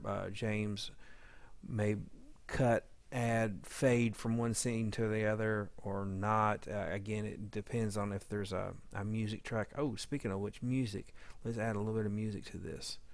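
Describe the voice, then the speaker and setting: Low-voiced man in a halting demo. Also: no echo. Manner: halting, rambling, thinking aloud. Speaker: audio drama editor giving a demo. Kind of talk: informal software demo walkthrough.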